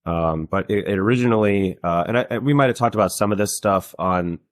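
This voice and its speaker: A man's voice: on the low side, a little fast, no room sound. A man hosting a podcast in casual conversation, talking in a rambling way with many fillers and restarts. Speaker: podcast host. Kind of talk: casual chat.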